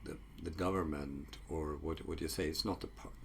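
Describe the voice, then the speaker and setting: A man whose first language is Swedish, a psychology researcher talking casually in a solo video, halting often with fillers and restarts. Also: very deep voice, steady tone, vocal fry, slight background noise.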